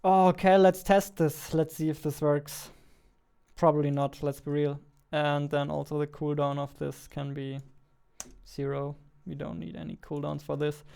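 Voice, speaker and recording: A man's voice: on the high side, level tone, a little slow, creaky, dark tone, smooth. A man in his twenties chatting casually as he live-codes, muttering to himself and repeating words. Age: 20s. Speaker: game developer and streamer. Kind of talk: casual live coding chat. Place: small indoor room at a computer. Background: keyboard typing and clicking, faint music.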